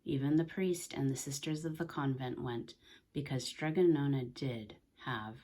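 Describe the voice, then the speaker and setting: Female storybook reader: low, breathy-husky voice, deliberate and expressive children's read-aloud delivery.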